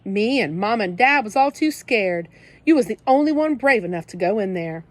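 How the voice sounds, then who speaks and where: A woman's voice: mid-range voice, animated, normal speed, natural voice. A woman voicing a tough teenage big brother who talks in blunt slang, scolding and protective at once. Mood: gruff comforting. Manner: streetwise slang, scolding then comforting.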